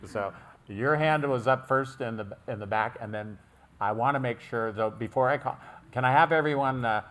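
A man's voice: medium pitch, moderate pace, a bit bright. A man moderating a conference panel in a joking, rambling way.

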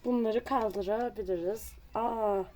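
Slow-paced drawing video host. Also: mid-range voice, steady tone, slight background noise, rough recording. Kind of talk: casual drawing tutorial narration.

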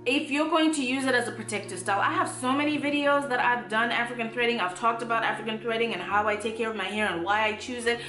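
A woman's voice: on the high side, quick pace, hardly any pauses, pushed and bright, roomy sound, soft background music. A young woman, giving a casual, upbeat hair tutorial in her bedroom, with playful asides. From Zimbabwe. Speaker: natural hair vlogger. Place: bedroom, indoors.

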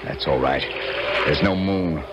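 A man's voice: very deep voice, measured pace. An actor playing a fatherly, authoritative Confederate colonel with formal speech in a radio drama. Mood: commanding. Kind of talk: 1970s radio drama acting. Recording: noisy vintage radio broadcast.

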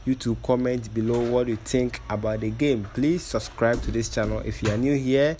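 A man in a mid-pitched voice hosts his vlog with chatty, upbeat energy, peppering his talk with fillers and calls to like and share. Faint noise hums under his voice. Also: steady tone, moderate pace, darkish tone. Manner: chatty, hypes up viewers, filler-heavy. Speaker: vlogger, channel host. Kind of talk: casual vlog update.

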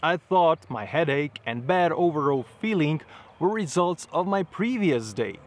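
A man in his thirties talking straight to camera outdoors in front of trees, explaining his experience in an earnest, measured way with slightly unusual phrasing. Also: on the high side, lively intonation. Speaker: travel vlogger.

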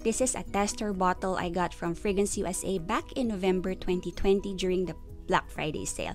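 A woman's voice: on the low side, brisk, a little mellow, soft background music. Woman giving an enthusiastic perfume review. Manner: enthusiastic, descriptive, stretches words for emphasis. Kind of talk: friendly scripted product review.

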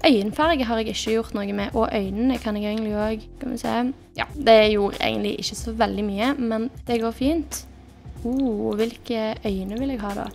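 A woman's voice: fairly high pitch, vocal fry, darkish tone, soft background music. A young woman giving casual, chatty commentary as she plays, thinking aloud as she picks options, recorded at a desk in her bedroom. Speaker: gaming YouTuber.

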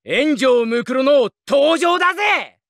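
A male voice actor playing a cocky young punk card duelist in an anime video game, boasting in rough slang with theatrical delivery. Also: very high-pitched, lively intonation, slow pace, fairly bright tone, dry recording, studio quality, full character voice. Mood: boastful, fired up.

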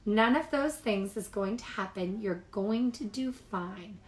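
A woman voicing a calm, reassuring grown-up mother bear in a storybook, with soothing motherly delivery. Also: medium pitch, unhurried, natural voice.

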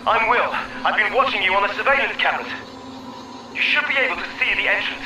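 An actor playing an urgent guard in a video game, calling out warnings to survivors. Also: male voice, sits high, quick pace, background noise, close to an everyday voice. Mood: alarmed, instructive. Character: urgent male guard watching survivors on surveillance cameras. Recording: echoey distant intercom speaker.

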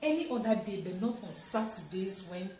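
A woman's voice: mid-range voice, expressive, normal speed, darkish tone. A woman in her thirties with a Cameroonian accent, chatting casually and expressively to camera as a home vlogger. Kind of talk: casual chat to camera while doing housework. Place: tiled home kitchen, indoors. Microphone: camera or phone at arm's length and further. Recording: echoey tiled room with clear noise.

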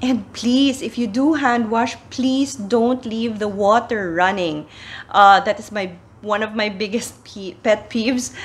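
A middle-aged woman with a Filipino accent, chatting casually to camera with sustainable laundry tips, slipping in Tagalog phrases, recorded in a small plain room. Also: mid-range voice. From Philippines. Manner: chatty, switches into Tagalog phrases, animated gestures. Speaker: sustainability vlogger.

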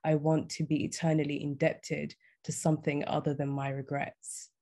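A young woman poet reading her poems aloud in a measured, deliberate way. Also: low-pitched, level tone, mellow. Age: young adult. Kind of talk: poetry reading. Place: small indoor room, on a video call.

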